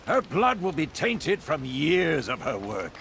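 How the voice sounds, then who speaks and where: Scheming conspirator, lively inflection. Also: male voice, a little high, takes it slowly, vocal fry, a little hiss or hum, heavily acted. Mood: conspiratorial. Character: scheming male fugitive conspirator, cunning and conspiratorial. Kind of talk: fantasy video game voice acting. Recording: faint outdoor game ambience behind.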